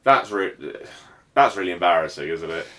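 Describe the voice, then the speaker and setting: A man's voice: low-pitched, animated, normal speed, bright, forceful tone. A man co-hosting a podcast in casual, sweary, blokey banter with a quiz feel. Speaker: podcast co-host.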